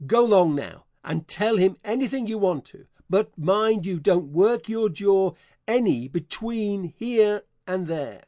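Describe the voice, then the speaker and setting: A man playing a scheming adult con man, speaking in a gruff, folksy, bullying way in an audiobook dramatization. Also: sits high, expressive, slow, mellow, no room sound. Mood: commanding.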